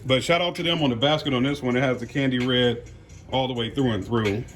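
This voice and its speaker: A man's voice: medium pitch, brisk, over music. An American man giving casual unboxing commentary as a car audio vlogger, talking in a folksy, slangy way.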